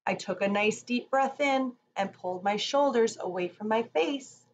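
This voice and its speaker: A woman's voice: on the high side, moderate pace, muffled recording. A woman leading a children's read-along yoga lesson, reading expressively and giving simple, encouraging instructions to young children. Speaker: children's yoga teacher reading a picture book aloud. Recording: echoey room, distant mic, background noise.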